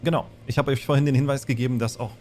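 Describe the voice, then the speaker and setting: A man acting as game master, narrating a tabletop fantasy horror game in a deliberate, descriptive way. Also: mid-range voice, steady tone, a little mellow, a little hiss or hum. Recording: music under the voice. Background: background music.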